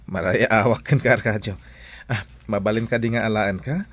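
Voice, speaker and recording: An actor playing a friendly, kindly young employer in an Ilocano radio drama, with an Ilocano accent. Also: male voice, fairly low pitch, fairly even intonation, moderate pace, a bit bright, slightly rough, no room sound. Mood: amused, kindly.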